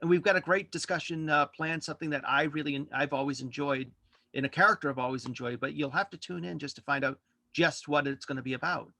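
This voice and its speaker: Guy w a bright forceful higher voice, rambly and halting, casual podcast chat. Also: steady tone, no echo. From Worcester, United States. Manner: halting, rambling, self-correcting. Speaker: podcast host and guest. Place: virtual studio online call.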